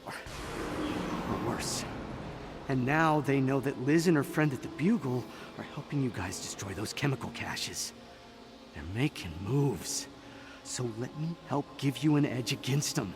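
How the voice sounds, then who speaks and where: A man's voice: medium pitch, lively intonation, measured pace, music in the background. A man voicing a defensive, earnest young executive turned ally in a video game. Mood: pleading.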